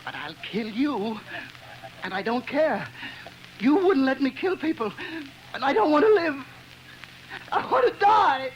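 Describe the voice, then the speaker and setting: A man's voice: very high-pitched, sing-song, unhurried, long pauses, lo-fi sound, heavily acted. A man playing an unhinged bomber who stammers, giggles and talks like a child, in old-time radio drama style. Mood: desperate. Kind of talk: 1930s radio drama acting. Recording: old radio recording with noise.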